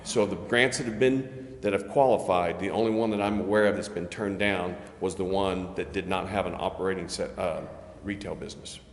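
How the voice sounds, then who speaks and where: A man explaining things at a public meeting in a measured, halting, careful way, as a city official. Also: deep voice, steady tone. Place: indoor council chamber. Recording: echoey meeting chamber with background noise.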